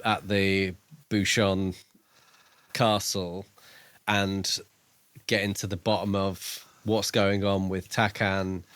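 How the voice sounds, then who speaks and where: A man co-hosting a podcast, discussing a book in a casual, measured and reflective way. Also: low-pitched, level tone, a bit bright, clean tone, no room sound. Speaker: podcast co-host.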